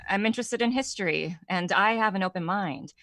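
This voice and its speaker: An actress playing a quiet, guarded woman who gives terse, reserved answers in an audio drama. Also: mid-range voice, fairly quick, dry recording, studio quality, natural voice.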